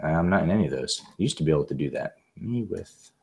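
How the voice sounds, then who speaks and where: A man's voice: deep voice, animated, fast, gravelly, a little mellow. A man recovering from laryngitis, giving a casual, rambling tutorial over a video call with many fillers and restarts. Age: adult with a young son. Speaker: presenter demonstrating software. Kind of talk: casual screen-share tutorial.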